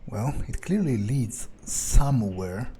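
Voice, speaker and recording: A man playing a wary vampire in a video game, thinking aloud in a halting, muttering way. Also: mid-range voice, lively intonation, mellow, a little hiss or hum. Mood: musing, wary. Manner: halting, musing, muttering with hums. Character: wary male vampire muttering his thoughts while exploring. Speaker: gameplay streamer playing a character. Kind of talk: in-character roleplay commentary, thinking aloud.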